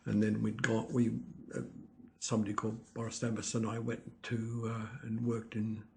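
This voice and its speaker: Older aussie guy, gravelly voice, keeps stopping and restarting, chatting as an interview guest. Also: fairly low pitch, completely flat delivery, a little mellow. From Italy, raised in Australia. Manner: hesitant, halting, restarts sentences often. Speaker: guest, winemaker. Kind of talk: conversational interview.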